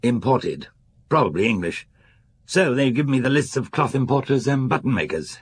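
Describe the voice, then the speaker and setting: A man's voice: medium pitch, sing-song, moderate pace, slightly rough. An actor playing a police officer who gives factual reports to his chief in a radio drama. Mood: matter-of-fact. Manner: dry, factual. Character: matter-of-fact male police officer reporting lab findings.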